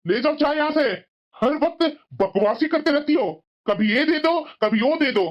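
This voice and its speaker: A man's voice: very high-pitched, lively intonation, moderate pace. A man voicing a burdened, irritable father in a cartoon moral drama, aggrieved and complaining, on a muffled recording. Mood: angry, exasperated. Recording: dull, muffled lo-fi sound.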